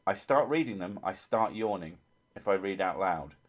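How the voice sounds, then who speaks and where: A man with a low, soothing voice chats loosely through a livestream, rambling and poking fun at himself. He laughs often and drifts from one thought to the next. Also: animated, moderate pace. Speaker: livestream host. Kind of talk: casual livestream chat.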